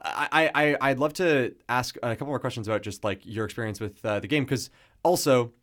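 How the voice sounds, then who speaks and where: A man's voice: medium pitch, a little fast, a bit bright. Easygoing male host asking questions. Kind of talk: casual conversation.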